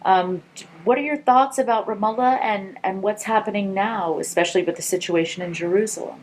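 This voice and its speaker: A woman conducting an oral history interview, asking gentle, encouraging questions. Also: mid-range voice, brisk, low-quality recording.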